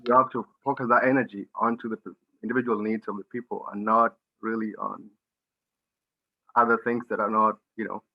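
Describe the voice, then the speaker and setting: Low, flat-voiced Kenyan man. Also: vocal fry, muffled recording. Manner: halting, searching for words, many restarts. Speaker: panelist, medical student. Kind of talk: unscripted panel answer.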